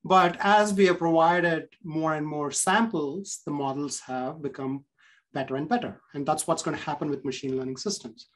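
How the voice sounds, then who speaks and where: A man with an Indian accent giving a measured, explanatory answer on a panel discussion over a video call. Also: on the high side, steady tone, fairly bright tone. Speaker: panelist, company CEO. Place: room with bookshelves.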